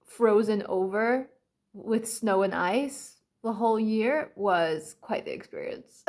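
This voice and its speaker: A Polish woman in her twenties with a mixed, American-leaning English accent, telling stories to camera in a chatty way and often laughing. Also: mid-range voice. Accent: light mixed American-leaning English accent. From Poland. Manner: chatty and laughing, acts out quoted voices. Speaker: vlogger. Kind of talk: casual storytelling to camera.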